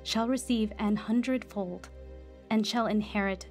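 An actress playing an earnest, devout young woman who tells her story with measured care in a radio drama. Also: mid-range voice, steady tone, over music, natural voice. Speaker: lead actress and first-person narrator. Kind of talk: scripted radio drama narration.

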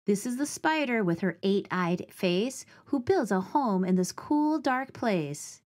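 A woman in her forties reads a children's storybook aloud, speaking clearly and deliberately with an expressive storytelling delivery. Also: mid-range voice. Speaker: teaching artist reading aloud to children.

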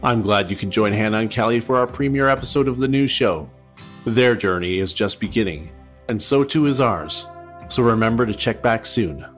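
A man reading scripted closing credits as a show announcer, speaking directly to the listener. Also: fairly low pitch. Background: soft guitar music.

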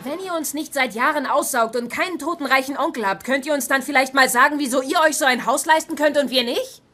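An actress playing a sarcastic, easily offended wife in a dubbed television sitcom. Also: female voice, high voice, fast, sharp tone. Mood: combative. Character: sharp-tongued, sarcastic wife, quick to take offence. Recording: noisy TV sitcom audio, room ambience.

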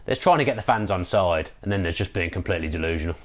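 Brief: thirties bloke, low voice, rapid football chat over a video call, repeats words as he thinks. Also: animated. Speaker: football podcast co-host. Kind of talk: casual post-match football chat. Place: home room, indoors. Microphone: video call with earphone mic.

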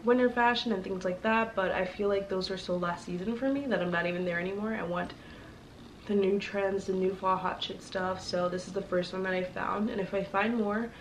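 A young woman with a congested, sick voice, chatting casually to her viewers as a fashion vlogger, rambling with lots of fillers. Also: mid-range voice. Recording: slightly distant mic with faint noise.

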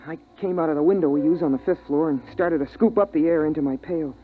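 An actor playing an earnest, excitable boy in a 1950s radio drama, with an eager, boyish manner. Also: fairly high pitch, fast talker, soft background music, lightly acted. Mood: tense storytelling. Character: earnest, excitable boy who scavenges air. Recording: tinny band-limited 1950s radio recording.

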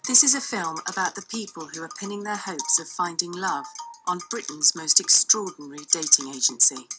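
A woman's voice: on the low side, fairly quick, over music, low-quality recording. A woman reading scripted documentary narration.

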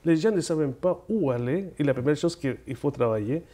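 A middle-aged man from Chile, whose first language is Spanish, speaking French with a Chilean Spanish accent as a guest in a TV studio interview, earnest and halting, often repeating words as he searches for them. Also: a little high, lively intonation, mellow.

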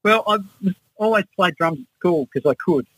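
A man's voice: sits high, lively intonation, normal speed, pushed and bright, dry recording, low-quality recording. An Australian man in his sixties reminiscing casually as an interview guest. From Adelaide, Australia. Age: 60s. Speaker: interview guest, musician and researcher.

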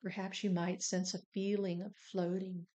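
A woman narrating a guided meditation, giving soothing, deliberate instructions as the guide. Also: medium pitch, flat delivery, dark tone.

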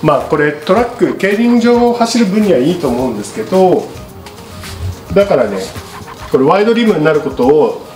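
A man's voice: high-pitched, big pitch swings, moderate pace, a little mellow. Middle-aged man explaining in a roomy space. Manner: polite, explanatory, unscripted. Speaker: bicycle shop presenter. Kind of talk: casual product explanation to camera. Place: small indoor studio with paper backdrop. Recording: roomy echo, music behind.

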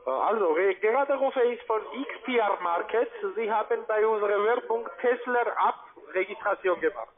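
A man with a foreign accent and a high voice on a tinny phone line. He makes a formal sales pitch as an account manager, halting often and using broken non-native grammar. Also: a crowd in the background. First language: not German. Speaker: cold-calling account manager.

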